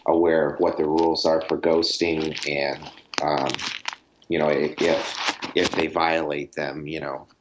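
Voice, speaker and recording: Male podcast guest, very low monotone, halting casual delivery, noisy clicky recording. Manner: halting, frequent "you know". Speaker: guest, air traffic controller. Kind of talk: podcast interview, casual explanation. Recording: clear background noise with clicks.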